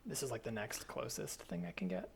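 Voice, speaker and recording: A man playing an awkward, earnest churchgoer with a halting, tentative way of talking, in improvised audio comedy. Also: mid-range voice, sing-song, vocal fry, a little mellow, a little hiss or hum.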